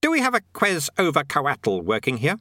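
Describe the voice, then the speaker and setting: A man's voice: a little high, huge pitch swings, moderate pace, sharp tone, no echo, studio quality, voice pushed up, heavily acted. A man voicing an irritable, officious demon king in a storybook, snapping out questions imperiously. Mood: impatient.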